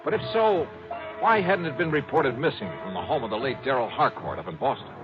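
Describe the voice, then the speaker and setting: An actor playing a brisk, wry American insurance investigator, in dialogue and first-person narration for an old radio drama. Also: male voice, mid-range voice, lively intonation, natural voice. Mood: matter-of-fact. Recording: narrow old radio recording with music.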